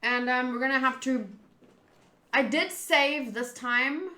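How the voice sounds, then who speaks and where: A young woman chatting casually with viewers during a live game playthrough, animated and jumping between loud outbursts and mumbled asides. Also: high voice, slow, echoey room, a little hiss or hum. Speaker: streamer.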